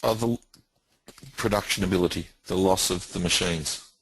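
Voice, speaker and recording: A man's voice: low-pitched, moderate pace, breathy edge, no echo, poor audio. A middle-aged man, a business owner, recounting events in a plain-spoken, emphatic way that repeats itself. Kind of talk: casual recounting.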